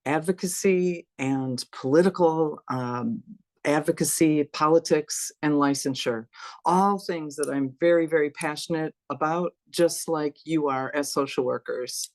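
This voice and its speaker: A woman's voice: on the low side, darkish tone, no room sound. A woman, a university dean, giving an earnest, passionate talk to an online forum, with rhetorical questions. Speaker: dean and guest speaker.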